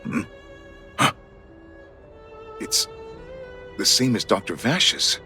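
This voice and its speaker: A man's voice: mid-range voice, wildly swooping pitch, drawn-out words, sharp tone, faint music behind, exaggerated voice. A man voicing a stern but fair guard captain in a video game, earnest and authoritative. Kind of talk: scripted video game voice acting.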